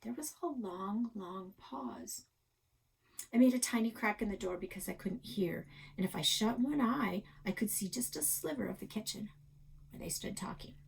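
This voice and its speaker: A woman narrating a first-person children's novel expressively, with dramatic pauses and hushed asides. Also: mid-range voice, creaky, a little hiss or hum, rough recording. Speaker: library story reader.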